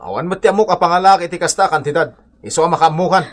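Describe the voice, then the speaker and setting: A man with an Ilocano accent playing an exasperated, scornful husband who scolds loudly in a radio comedy drama. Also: on the high side, very fast, close to an everyday voice. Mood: scolding. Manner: scolding, shouting, melodramatic.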